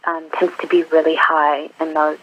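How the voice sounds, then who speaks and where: A woman's voice: low-pitched, little pitch movement, normal speed, slight background noise. A woman in her twenties, from Iraq, answering interview questions over the phone in an earnest, hesitant way with many ums. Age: 20s. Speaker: interview guest, nurse. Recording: muffled lo-fi phone line.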